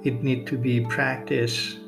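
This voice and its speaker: A man hosting a guided meditation podcast, speaking non-native English in short, measured phrases with long pauses. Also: mid-range voice, little pitch movement, gravelly. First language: not English. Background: music.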